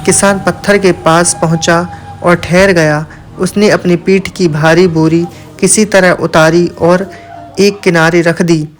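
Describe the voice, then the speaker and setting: A man with a high voice narrates a moral story from a script. His delivery stays level, with little rise and fall in pitch. Music plays along with the narration. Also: steady tone, takes it slowly.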